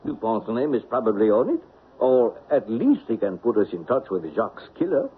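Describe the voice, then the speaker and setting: An actor playing a sly, evasive French spy posing as an ally in an old radio mystery, suave and theatrical. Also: male voice, medium pitch, very animated, normal speed, slightly rough. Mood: sly, persuasive. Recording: tinny, noisy old radio recording.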